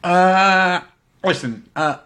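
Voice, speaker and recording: Man playing a deadpan fantasy bank clerk. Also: sits high, drawn-out words, voice pushed up, exaggerated voice. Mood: patiently sceptical. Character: polite, unflappable, mildly sceptical fantasy bank clerk.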